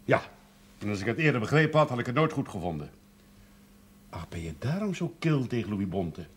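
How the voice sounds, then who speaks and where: An actor playing a proud, suspicious middle-aged father in a television drama, gruff and dismissive. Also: medium pitch, very animated, fairly quick. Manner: gruff, dismissive, needling questions. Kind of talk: naturalistic television drama acting.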